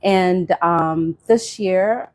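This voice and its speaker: A middle-aged woman from Zimbabwe, a restaurant owner being interviewed, speaking in a measured way with frequent ums. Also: on the low side. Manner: warm, measured, with fillers like um. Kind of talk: interview guest.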